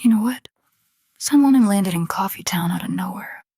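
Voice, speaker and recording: A woman's voice: mid-range voice, expressive, moderate pace, breathy edge, dry recording, clean studio sound. A woman playing a proud, irritated, slangy nightclub owner in a noir radio drama. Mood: indignant, suspicious. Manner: sassy, slangy, commanding. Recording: loud music under the voice.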